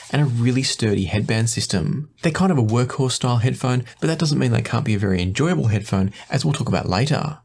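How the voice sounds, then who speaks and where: A man hosting an audio review, presenting to the audience in a measured, explanatory way. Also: medium pitch, a little mellow. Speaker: host of audio review channel. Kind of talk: presenting a product review to camera.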